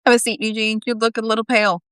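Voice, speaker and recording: A woman's voice: on the high side, little pitch movement, quick pace, fairly bright tone, clean tone, no echo, lightly acted. Storybook mentor, man in his forties, kindly and wry female reader, dry recording. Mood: concerned, wry. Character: kind, wise man in his forties, team cook.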